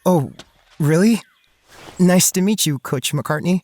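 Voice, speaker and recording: A man's voice: high voice, lively intonation, slow, darkish tone. An actor playing a shy, anxious young male student, narrating in the first person in an audio drama with an earnest, introspective manner. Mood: nervous, polite. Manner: earnest, introspective, hesitant in dialogue.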